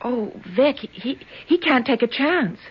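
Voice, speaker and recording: An actress playing a frightened, naive but resolute young American woman in a 1970s radio drama, speaking in a halting, emotional way. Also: sits high, lively intonation, breathy edge, heavily acted. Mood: urgent persuading. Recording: dull, band-limited 1970s radio recording.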